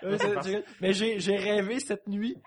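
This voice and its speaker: A man's voice: sits high, fairly even intonation, unhurried. A man with a Quebec French accent in casual comic banter, colloquial and dropping in English slang.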